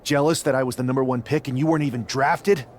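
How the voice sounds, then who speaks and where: A man's voice: mid-range voice, steady tone, fast, slight background noise, natural voice. A man voicing a proud wrestler, cocky and confrontational. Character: proud man wrestler, top draft pick.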